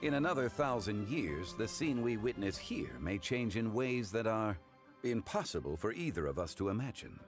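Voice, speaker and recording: Deep-voiced, courtly, learned gentleman. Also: very animated, over music. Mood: calm, reflective. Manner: formal, measured, eloquent, unhurried. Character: composed, learned, courteous man of immortal wisdom, consultant. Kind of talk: video game character dialogue.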